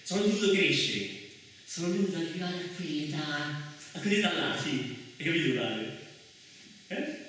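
A man performing a theatrical comic monologue as a solo stage actor, halting and interrupting himself, then swelling into declamation. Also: on the high side, big pitch swings, bright, forceful tone, a little hiss or hum. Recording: echoey hall, far from the mic.

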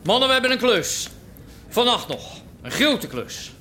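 An actor playing a menacing, sadistic gang boss in an audio drama, taunting and commanding. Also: male voice, extremely high pitch, very animated, measured pace, sharp tone, slight background noise, exaggerated voice.

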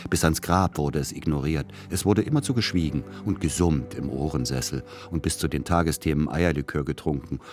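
An actor playing a melancholy grown son, narrating his past in a measured, reflective radio play style. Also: a bass voice. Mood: bitter. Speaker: first-person narrator.

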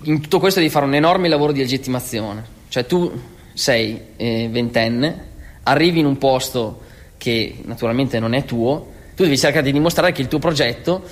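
Male theatre-maker, hesitant testimony, mid pitch, long room reverb. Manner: hesitant, with many pauses and "eh". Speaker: interviewee, theatre organizer. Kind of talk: documentary testimony.

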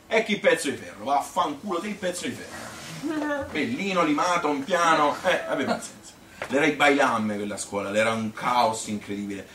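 A man's voice: mid-range voice, a bit bright, natural voice. An actor playing a sociable, wry Tuscan man telling rambling, colloquial anecdotes about his own life in a theatrical monologue. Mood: amused. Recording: roomy, distant mic with faint noise.

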